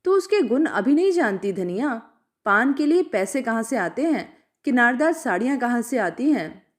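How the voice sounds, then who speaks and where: A woman voicing a sharp-tongued ageing village widow in a storybook reading, blunt, probing and rustic in manner. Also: a little high, sing-song, moderate pace. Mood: suspicious.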